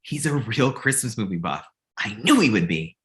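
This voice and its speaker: A man's voice: on the low side, very animated, normal speed, a bit bright. A man playing a cocky, wry grown son who lives with his parents, in a comedy table read. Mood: eager, cocky. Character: cocky, needy grown son, amateur film buff, living with parents.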